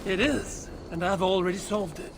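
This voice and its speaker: An actress playing a proud, suspicious Viking warrior woman in a video game, husky and terse, with a Scandinavian accent. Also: medium pitch, moderate pace, noisy background, natural voice. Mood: smug, curt. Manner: terse, blunt. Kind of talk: video game cutscene acting.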